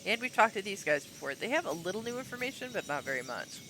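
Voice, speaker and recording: A man's voice: sits high, fast, background noise. A man streaming a video game, talking casually through his play, a little sniffly and congested. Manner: relaxed, muttering to himself while playing. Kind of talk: casual let's-play commentary. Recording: game music playing underneath. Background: game music.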